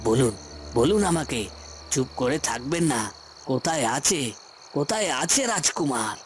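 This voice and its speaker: A man's voice: medium pitch, lively intonation, moderate pace, a little hiss or hum, lightly acted. A man voicing a scheming, power-hungry villain in a cartoon fairy tale, coaxing and insistent in his demands. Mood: menacing, demanding.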